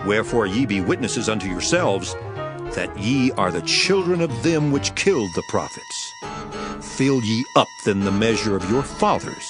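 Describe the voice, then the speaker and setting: A stern, righteous prophet delivers deliberate, declamatory rebukes in a dramatized scripture reading. His pitch rises and falls dramatically, and music plays clearly beneath him. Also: big pitch swings. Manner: declamatory, deliberate archaic oratory. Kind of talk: dramatized King James scripture performance. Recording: music under the voice.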